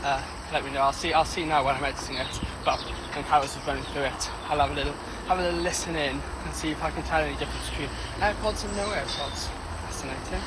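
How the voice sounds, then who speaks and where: Excitable young Welsh man in an echoey room. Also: a little high, fast talker. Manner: chatty, excitable, self-mocking. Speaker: vlogger, new father. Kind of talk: casual home vlog chat. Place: home, indoors. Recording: echoey room with background noise.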